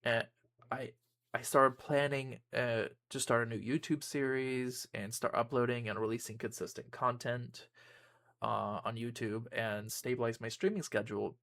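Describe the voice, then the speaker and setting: A man's voice: mid-range voice, creaky, a bit bright. A young man, a YouTuber and streamer, giving a casual personal update to his viewers, rambling with frequent ums and restarts.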